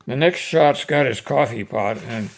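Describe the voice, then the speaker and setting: An older man with a folksy, unhurried manner, hosting and reciting cowboy poetry in short, paused phrases. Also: medium pitch, gravelly. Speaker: host reading cowboy poetry. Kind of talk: casual introduction and expressive poem recitation.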